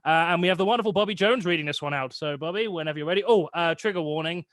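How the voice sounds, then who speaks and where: Male host, higher-pitched and bright, close dry sound, rambling casual intros. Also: rapid-fire, no room sound. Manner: hesitant, rambling.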